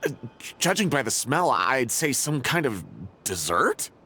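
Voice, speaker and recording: An actor playing a nervous, apologetic engineer in a video game, explaining things hesitantly. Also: male voice, mid-range voice, big pitch swings, a little slow, pushed and bright, a little hiss or hum. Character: nervous, apologetic engineer serving a demanding boss.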